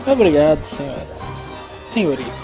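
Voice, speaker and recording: A man's voice: on the high side, very animated, a little fast, creaky. A man playing casually in character as a player in a tabletop game, with music behind.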